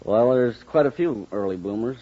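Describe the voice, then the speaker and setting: A man's voice: medium pitch, animated, normal speed, recorded from a distance. A man answering plainly and with understatement as a contestant on a 1950s radio quiz. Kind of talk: unscripted radio quiz chat. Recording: noisy dull radio recording, off mic.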